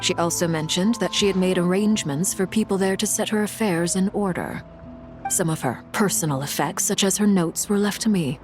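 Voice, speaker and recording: Video game noblewoman played by a woman: solemn, restrained, quietly caring, lower register, clear musical underscore. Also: natural voice. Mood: composed, solemn. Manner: measured, formal, restrained. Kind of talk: dramatic video game dialogue. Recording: prominent music behind the voice.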